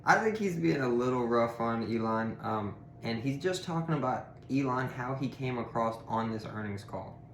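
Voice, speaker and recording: A man's voice: mid-range voice, expressive, moderate pace, hardly any pauses. A man hosting a reaction video, commenting casually and chatting with viewers. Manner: chatty, informal asides. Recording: roomy echo, faint noise.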